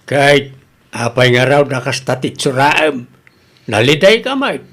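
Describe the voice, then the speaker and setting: A man's voice: medium pitch, big pitch swings, a little slow, fairly bright tone, heavily acted. A man with a Filipino accent playing a father who chides and banters with his grown child in a comedic radio drama. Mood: bantering.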